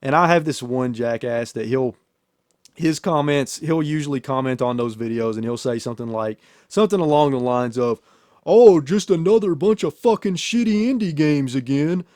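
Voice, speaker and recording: A man's voice: mid-range voice, normal speed, darkish tone, dry recording, studio quality. A man hosting a podcast in a casual, profane, storytelling chat style. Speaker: podcast host and YouTuber.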